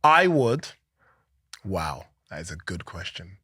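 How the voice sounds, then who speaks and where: Guest: man, animated, loose and chatty, close dry mic. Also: deep voice, slow pace, husky, no echo. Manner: animated, chatty, laughs often, many fillers. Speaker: podcast guest, organisation founder. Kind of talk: casual interview chat.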